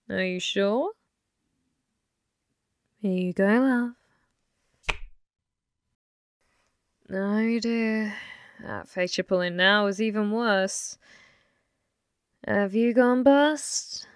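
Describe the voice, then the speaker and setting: Woman doing a flirty yandere demon lady for asmr, breathy whispery w some vocal fry, super close dry mic, teasing and possessive. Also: mid-range voice, no room sound. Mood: taunting. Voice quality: breathy, often dropping to a whisper. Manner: slow, teasing, calls listener love. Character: flirty, possessive female demon gambler, taunting and sweetly menacing. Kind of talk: ASMR audio roleplay. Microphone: close microphone, dry.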